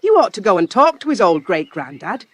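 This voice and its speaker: A woman's voice: medium pitch, sing-song, brisk. A woman playing a kindly farmer's wife who tells old tales in a folksy way in an audio drama. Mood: matter-of-fact, pitying.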